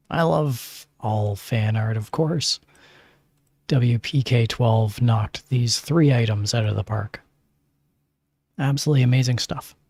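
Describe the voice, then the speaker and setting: A man's voice: mid-range voice, a little mellow, dry recording. An older man, chatting casually on his livestream in a gushing way, with lots of fillers. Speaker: streamer.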